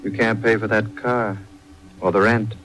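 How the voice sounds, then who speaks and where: An unruffled, all-knowing stranger in a 1940s radio mystery , played by a man. His voice is low, his lines terse and cryptic. Clear noise sits behind him on the old recording. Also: steady tone, normal speed, a bit bright, close to an everyday voice. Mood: calmly probing. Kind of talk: 1940s radio drama acting.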